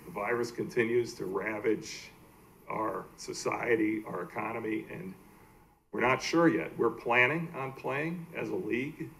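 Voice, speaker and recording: Male, American, lowish voice, halting plain-spoken presenter at a public meeting, slight background noise. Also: gravelly, a bit bright, low-quality recording. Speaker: baseball team executive presenting to a city council. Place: indoor council meeting room.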